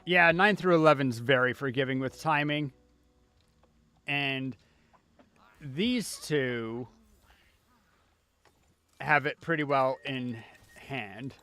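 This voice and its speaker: Guy streaming a game, mid voice, slow unhurried talk, kinda muttering to himself, music in the background. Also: medium pitch, sharp tone, smooth. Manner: casual, muttering self-talk while playing. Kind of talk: live video game commentary.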